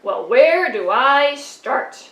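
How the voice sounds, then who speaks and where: A touchy, indignant sheep, voiced by a woman, protests in a huffy, defensive tone and keeps repeating her questions. The voice sits a little high and rings in an echoey church room. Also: expressive, extremely slow, fairly bright tone, heavily acted. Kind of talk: church puppet show.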